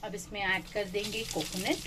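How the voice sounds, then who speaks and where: A woman's voice: medium pitch, steady tone, moderate pace, background noise. Woman doing a cooking video voiceover, chatty, slips in english bits, sounds a bit far from the mic. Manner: chatty, mixes English phrases into Hindi. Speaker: cooking channel host. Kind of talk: cooking demonstration voice-over.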